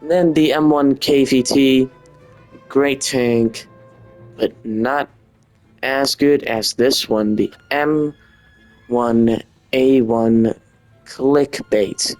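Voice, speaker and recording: Man, Dutch accent, slow pace, casual gaming commentary, informal, faint music behind. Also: medium pitch, steady tone, darkish tone. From Netherlands. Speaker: gaming video host.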